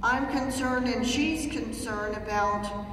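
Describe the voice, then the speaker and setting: A woman's voice: a little high, slow pace, fairly bright tone, soft background music. A woman in the audience asking a question at a town hall, hesitant and rambling, often restarting her sentences. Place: large theater hall. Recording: echoey hall heard from far off.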